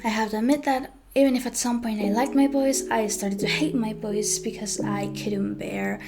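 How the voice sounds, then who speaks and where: Young woman, high-pitched voice, hesitant storytime monologue, clear guitar music behind. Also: steady tone, normal speed. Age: young adult. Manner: hesitant, with frequent ums and self-corrections. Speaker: vlogger.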